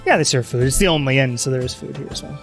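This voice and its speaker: A man's voice: mid-range voice, rapid-fire, vocal fry, a bit bright. A man running a tabletop game as game master, narrating loosely with many fillers. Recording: faint background music.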